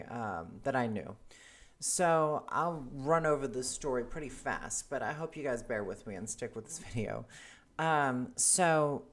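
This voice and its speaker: Earnest woman in her forties, halting. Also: extremely low pitch. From South Africa. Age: 40s. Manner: hesitant, frequent ums and restarts, earnest. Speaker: vlogger. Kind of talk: casual personal monologue to camera.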